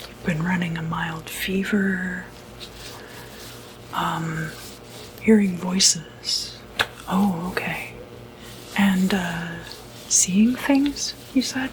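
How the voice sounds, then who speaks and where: A woman speaking in a breathy whisper, softly reassuring and hesitant, in an ASMR medical roleplay as clinic staff. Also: fairly low pitch, very slow, pauses often. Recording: noticeable background noise, ambient sound.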